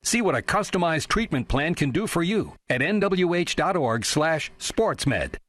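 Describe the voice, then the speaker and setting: A man with a fairly high voice reads scripted radio ad copy for a health service. His intonation is lively and varied. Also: moderate pace. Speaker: advertisement announcer.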